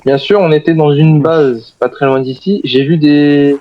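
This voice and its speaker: Slightly high-voiced man playing a wary soldier survivor. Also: steady tone, a little slow, dull audio, lightly acted. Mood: explaining, hesitant. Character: armed soldier survivor of a zombie outbreak, wary but cooperative. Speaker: video game roleplayer. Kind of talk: improvised in-character game roleplay.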